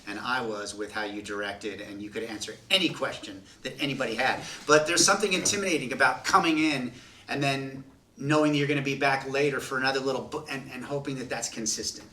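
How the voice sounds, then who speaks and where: Bright-voiced man, reflective, echoey room. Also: mid-range voice, fairly quick. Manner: reflective, repeats himself for emphasis. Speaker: voice actor, cast member. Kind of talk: casual roundtable chat. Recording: roomy sound, mic at a distance, faint room noise.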